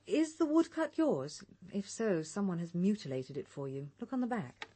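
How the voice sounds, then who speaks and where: Female private investigator: English, businesslike, watchful, husky mid-range voice for British radio drama. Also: mid-range voice, very animated, moderate pace, warm tone. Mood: probing. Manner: polite, probing, businesslike.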